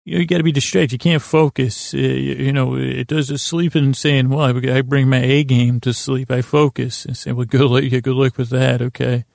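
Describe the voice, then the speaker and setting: A man hosting a sleep podcast with a rambling bedtime monologue, halting and meandering, often interrupting himself and trailing off, in a creaky, gravelly voice. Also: medium pitch, level tone, darkish tone, no echo, studio quality.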